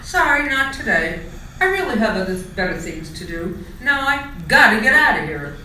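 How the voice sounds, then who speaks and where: Woman acting young boy caterpillar, storybook character, high and bright, childlike, eager, tender-hearted, roomy echo. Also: big pitch swings, moderate pace. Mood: dismissive, proud. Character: young male caterpillar turned butterfly, eager, easily hurt. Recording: echoey room, distant mic, faint noise.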